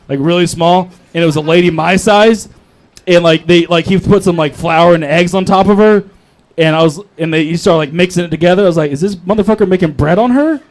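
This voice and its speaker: A man performing stand-up at an open mic, telling stories in a crude, profane, self-deprecating manner. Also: high voice. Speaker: stand-up comedian. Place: live open mic comedy show.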